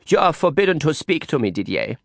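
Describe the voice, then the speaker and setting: A man's voice: high voice, lively intonation, moderate pace, creaky, a bit bright, no room sound, clean studio sound, voice pushed up, heavily acted. A man doing a pouting, naive young woman. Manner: pouty, whiny.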